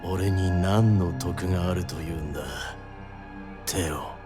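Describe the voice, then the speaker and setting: A cold, commanding villain doctor played by a man in an audio drama. The voice sits very low and moves slowly, every phrase imperious and threatening. Music plays faintly behind it. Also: fairly even intonation. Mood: cold menace. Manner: slow, imperious, menacing. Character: cold, commanding male villain doctor with inhuman healing powers.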